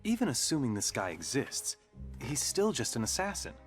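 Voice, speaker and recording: An actor playing a wry, skeptical American reporter, needling and incredulous, in a video game cutscene. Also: male voice, medium pitch, huge pitch swings, moderate pace. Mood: skeptical, probing. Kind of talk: dramatic game cutscene dialogue. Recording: music behind the voice.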